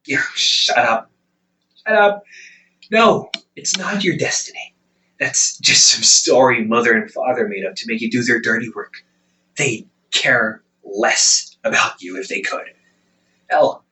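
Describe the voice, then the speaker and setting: Young man acting an emotional, bright-voiced protective older brother. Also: medium pitch, distant mic, full character voice, low-quality recording. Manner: emotional, halting, emphatic pleading. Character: half-demon older brother, protective, weary, pleading, exasperated. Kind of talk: dramatic audio roleplay monologue to listener.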